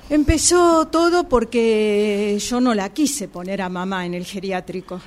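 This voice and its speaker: A woman's voice: medium pitch, measured pace, lightly acted. A woman with an Argentine accent playing a practical, money-minded sister in an amateur radio drama, matter-of-fact and self-justifying. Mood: defensive.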